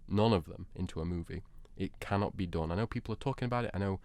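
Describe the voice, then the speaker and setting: Emphatic man with a low voice, debating. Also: fast, darkish tone, no echo. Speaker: debate show panelist. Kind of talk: casual opinionated debate.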